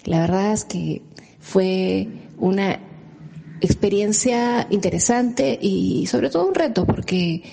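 A woman actress talking calmly about playing her role. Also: mid-range voice, vocal fry, slightly rough, low-quality recording. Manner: slow, calm, measured. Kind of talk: casual reflection.

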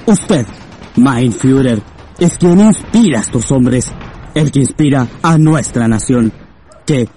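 An actor playing a loyal, deferential general in a radio drama, speaking formally and earnestly. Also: male voice, mid-range voice, lively intonation, slow pace, warm tone, slight background noise. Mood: impassioned, pleading. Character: General Monke, loyal devoted military officer addressing his leader.

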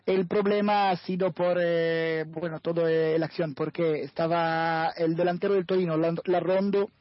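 Italian man in halting Spanish. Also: fairly high pitch, steady tone, no echo. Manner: hesitant, searching for words, many fillers. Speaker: guest football commentator. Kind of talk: radio football analysis chat. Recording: dull, lo-fi radio sound.

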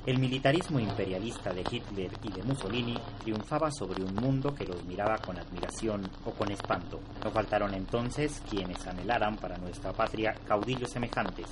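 A man reading a historical text aloud in a formal, literary manner. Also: fairly low pitch. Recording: clear background noise and ambience.

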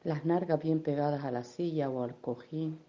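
A woman leading a guided meditation in a gentle, unhurried, soothing manner, with affectionate little diminutives. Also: low voice, lo-fi sound. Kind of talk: guided meditation, soft instruction.

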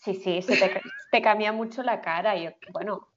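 A woman's voice: mid-range voice, moderate pace, muffled recording, slight background noise. A woman who grew up in the Philippines, co-hosting a casual chat and laughing often, with many fillers. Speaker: podcast co-host.

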